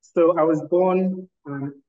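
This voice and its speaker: A man's voice: a little high, steady tone, dark tone, highs cut off. A man from Ghana, a lawyer and entrepreneur, answering interview questions in an articulate, analytical way. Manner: articulate, analytical, measured with fillers.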